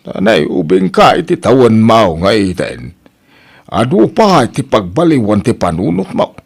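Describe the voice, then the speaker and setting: A man acts out a stern old father-in-law from a farming family in an audio drama. He nags and scolds with heavy emphasis, his pitch swooping up and down. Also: very animated, measured pace, gravelly, breathy edge, heavily acted. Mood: scolding.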